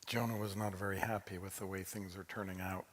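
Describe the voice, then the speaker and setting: Male congregation leader: lowish husky voice, conversational reflective sermon, reverberant hall. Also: little pitch movement, brisk. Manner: conversational, reflective, posing questions. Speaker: congregation leader commenting on the reading. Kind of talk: informal sermon. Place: large reverberant temple hall.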